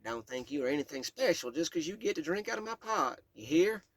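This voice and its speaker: A woman's voice: deep voice, big pitch swings, brisk, no echo, voice pushed up, full character voice. A man voicing a tough, proud great-grandmother in her later years, speaking with a Southern Kentucky accent in a blunt, bossy drawl. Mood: commanding. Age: older.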